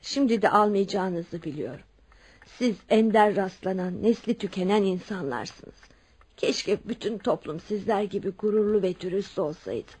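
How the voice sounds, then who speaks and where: An actress playing a wealthy, kindly widow near seventy in a Turkish radio drama, frank and dignified. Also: female voice, mid-range voice, animated, moderate pace, darkish tone, breathy edge. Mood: earnest, resolute. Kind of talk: Turkish radio theatre acting.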